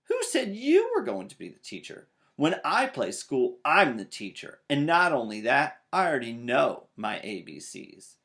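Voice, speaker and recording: A man voicing a stubborn, shouty little girl bear from a storybook. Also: medium pitch, huge pitch swings, measured pace, creaky, few pauses, heavily acted. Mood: indignant. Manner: shouty, insistent.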